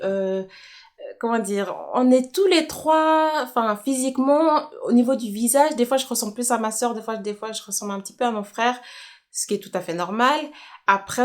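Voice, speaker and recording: A young woman from Kinshasa chatting casually in a personal question-and-answer vlog, correcting herself as she thinks aloud. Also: on the high side. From Congolese, from Kinshasa, living in Switzerland. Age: young adult. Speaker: YouTuber.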